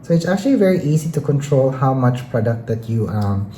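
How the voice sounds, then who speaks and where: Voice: mid-range voice, very animated, a little fast, mellow. A beauty vlogger chatting enthusiastically and casually through a makeup product review, often dropping in filler phrases like "you know". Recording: roomy echo, faint background noise.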